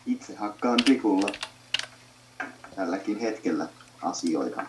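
A man's voice: fairly low pitch, lively intonation, distant mic. A young man in casual, slangy chat, as a friend throwing in suggestions, recorded in a noisy, echoey room away from the microphone. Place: indoors near a computer, keys clicking.

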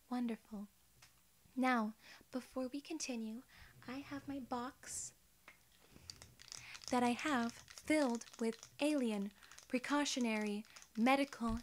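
A woman in her twenties voicing a polite alien gatekeeper in soft-spoken, measured, reassuring ASMR role-play. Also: fairly high pitch, slight background noise. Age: 20s. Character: polite, formal female alien gatekeeper at a wormhole.

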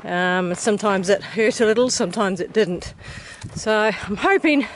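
A woman's voice: mid-range voice, moderate pace. A middle-aged woman with a New Zealand accent, chatting enthusiastically to a handheld camera while hiking outdoors. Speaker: hiker vlogger. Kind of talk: casual walking vlog commentary. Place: outdoors on a desert mountain trail. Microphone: camera held at arm's length. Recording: noisy outdoor camera audio.

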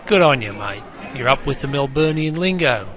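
A man's voice: medium pitch, animated, normal speed, close to an everyday voice. Cheeky broad-Aussie barman, played by a man. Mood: cheeky, welcoming. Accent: broad Australian. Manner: jokey, teasing, heavy slang. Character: cheeky, matey Aussie barman teaching beer slang. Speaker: bartender guide. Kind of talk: interactive game character patter. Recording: busy crowd noise behind. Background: crowd chatter.

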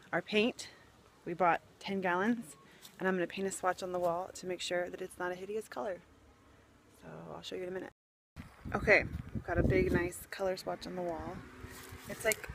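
A woman's voice: on the low side, a bit bright, slight background noise. Chatty young woman vlogging on her phone. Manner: chatty, thinking aloud with fillers and small laughs. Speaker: family vlogger. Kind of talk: casual home-building vlog. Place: house under construction and a back porch. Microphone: phone held at arm's length.

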